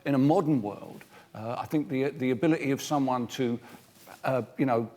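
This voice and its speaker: A man's voice: mid-range voice, moderate pace, dark tone. A man speaking as a panellist in a live theatre discussion, often repeating his opening phrases as he reasons. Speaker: debate panellist. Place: on stage in a theatre.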